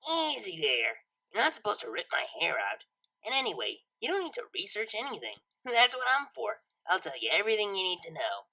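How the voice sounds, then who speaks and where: A woman's voice: medium pitch, wildly swooping pitch, brisk, bright, forceful tone, voice pushed up, heavily acted, poor audio. A woman voicing a brash but awkward young female DJ unicorn in an audio story, talking in a casual, blunt way. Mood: awkward, bluffing. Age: young adult.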